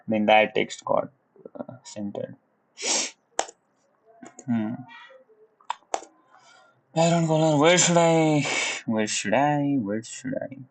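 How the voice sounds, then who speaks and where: A young man from Nepal with a Nepali accent, live-streaming his coding practice in casual commentary. He thinks aloud in a relaxed way, hums little la-la tunes as he works, and sometimes drops into Nepali. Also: mid-range voice, extremely slow, pauses often, poor audio. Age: young adult. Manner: laid-back, chuckles, switches into Nepali. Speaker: livestreamer learning web coding.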